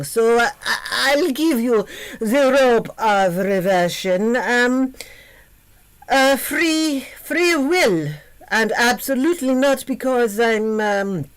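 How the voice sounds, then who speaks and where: A fretful male Minotaur with a Welsh accent, buttering up a princess through stammers and pauses, as a woman acts him out. His voice dips low, then jumps up as his nerves show. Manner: flustered, fawning, stammering. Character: nervous flattering male Minotaur.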